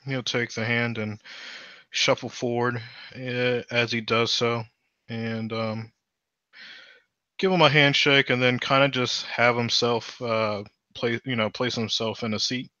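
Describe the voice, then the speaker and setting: A man's voice: medium pitch, little pitch movement, unhurried, bright, forceful tone, no echo, dull audio. A man narrating his character's actions in a tabletop game, hesitant and full of fillers. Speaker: tabletop player.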